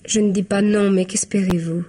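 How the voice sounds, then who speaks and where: A patient, reserved woman employee in an old-style French radio drama, played by an actress. Her voice is husky and medium in pitch, and she speaks with polite, discreet hesitation. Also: steady tone, moderate pace, warm tone, natural voice. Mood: tactful.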